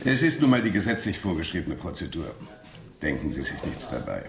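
A man playing a brisk, bureaucratic traffic police inspector in a German radio play, talking in stiff official jargon. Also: sits low, big pitch swings, echoey room, a crowd in the background. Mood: breezy, officious.

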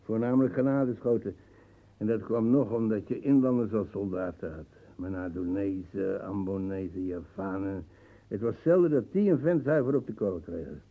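A brutal old colonial veteran in a radio play. His voice is low, husky and very mellow in tone. He rambles coarsely and boastfully, groping for words. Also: moderate pace, rough recording. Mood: boastful nostalgia. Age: older. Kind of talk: radio drama acting, monologue reminiscence.

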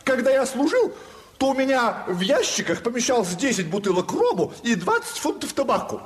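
Casting: boastful provincial squire, high and extravagantly animated, fawning on his superiors, theatrical audio drama. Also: brisk. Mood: boastful, eager. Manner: obsequious, effusive, deferential. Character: boastful, fawning provincial landowner, eager to impress.

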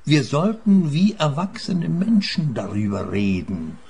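A man's voice: on the high side, very animated, measured pace, gravelly, a little mellow, slightly rough, heavily acted. An actor playing an older, self-satisfied father in a radio play, defensive and dismissive as he talks. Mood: defensive, sardonic. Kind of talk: radio comedy-crime acting.

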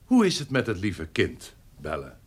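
An actor playing a slippery, corrupt privy councillor at an eighteenth-century court in a radio drama, insinuating and evasive. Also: male voice, low voice, huge pitch swings, moderate pace, husky, exaggerated voice. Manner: slippery, insinuating, drops to murmurs.

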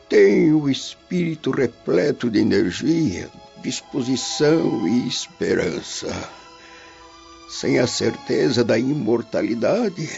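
Slow, gravelly dying old father. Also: mid-range voice, a little mellow, heavily acted. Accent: Brazilian. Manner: grave, declamatory. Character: dying old Roman father, solemn, philosophical, tender. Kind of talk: dramatic radio play acting. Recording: dull lo-fi radio drama, music behind.

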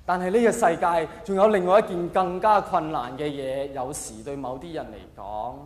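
High-voiced Hong Kong Cantonese male stand-up comedian. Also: moderate pace. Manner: theatrical, acts out quoted characters; rhetorical questions thrown at the audience. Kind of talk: live stand-up comedy monologue to an audience. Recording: echoey hall, long reverb, faint noise.

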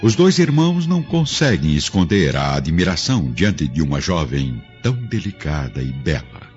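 A man's voice: a bass voice, sing-song, moderate pace. A man with a Brazilian accent narrating a radio drama from a script, solemn, literary and theatrical. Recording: dull lo-fi radio production, music underneath.

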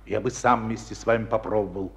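A man's voice: fairly low pitch, fairly even intonation, quick pace, gravelly, a bit bright. A man acting in a radio play as a stern, exasperated military school commander, emphatic and reproachful. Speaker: actor in a radio drama.

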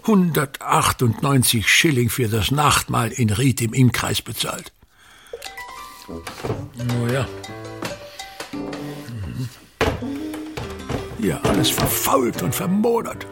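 An actor playing a pompous, embittered, self-pitying touring actor, grumbling and declaiming in a theatrical radio play monologue. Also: male voice, medium pitch, sing-song, slow, vocal fry, pauses often, over music, full character voice. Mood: indignant, grandiloquent.

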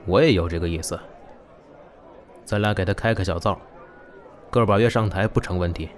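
A man playing a gruff but warm-hearted middle-aged comedy master in an audio drama. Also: deep voice, moderate pace, a faint crowd, close to an everyday voice. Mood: decisive. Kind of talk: Chinese audio drama acting.

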